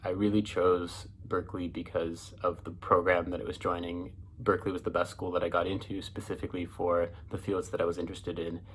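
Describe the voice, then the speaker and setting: A man's voice: sits low, level tone, fairly quick, few pauses, fairly bright tone, slight background noise, poor audio. A young man from Ohio, a recent graduate, answering concisely and directly. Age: young adult.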